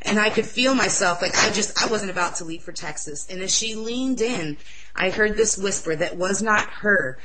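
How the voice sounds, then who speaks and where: A young woman with a loud voice, sharing an earnest, excitable personal religious testimony in an interview. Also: medium pitch, normal speed, a little hiss or hum, poor audio.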